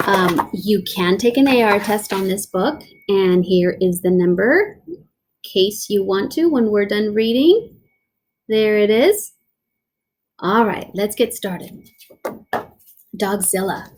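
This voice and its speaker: A woman reading a children's picture book aloud like a teacher, dramatic and deliberate, with playful asides. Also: mid-range voice, expressive, background noise.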